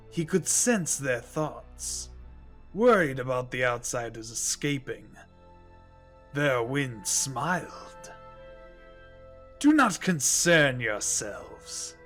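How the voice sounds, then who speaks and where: A man narrating an audio drama as a storyteller, voicing a calm, scheming mystic. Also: mid-range voice, slow. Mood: ominous, measured. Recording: music underneath.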